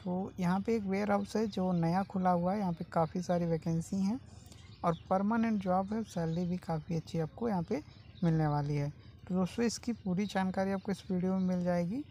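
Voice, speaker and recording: A man hosting a job-vacancy channel, talking viewers through an opening in a steady, explanatory voice-over that keeps addressing them as friends. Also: high-pitched, moderate pace, slight background noise. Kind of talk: informal informational voice-over. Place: voice-over over a phone screen recording.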